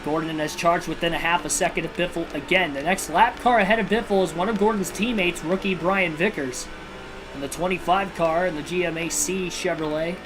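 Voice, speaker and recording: A man hosting a livestream and giving realistic play-by-play commentary on a racing video game, in a steady broadcaster style with casual personal asides. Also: high-pitched, fairly quick, rough recording. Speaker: livestream host and race commentator. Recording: video game music clearly behind voice.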